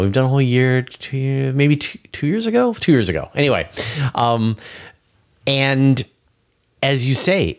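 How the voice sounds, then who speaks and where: A man speaking as an interview guest, explaining in a casual, enthusiastic way and thinking aloud as he goes. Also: fairly low pitch. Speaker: interview guest, space commentator.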